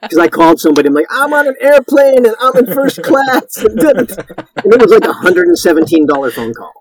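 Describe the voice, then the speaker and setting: A man's voice: sits high, very animated, brisk, crowd noise, low-quality recording. A man in his fifties from Connecticut, a guest actor telling stories in a casual interview, rambling and self-deprecating with many restarts.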